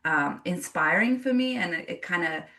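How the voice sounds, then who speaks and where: A woman's voice: on the low side, expressive, normal speed, vocal fry, bright, forceful tone, far from the mic. A woman who grew up in Japan, a yoga teacher telling her story in an interview, earnest and reflective with frequent restarts.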